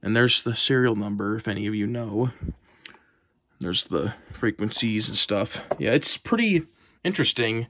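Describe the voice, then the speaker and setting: A man's voice: lowish voice, animated, normal speed, a bit bright. A man giving a casual, unscripted show-and-tell as a hobbyist collector, in a hesitant, rambling, plain-spoken way. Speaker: hobbyist collector presenting weather radios.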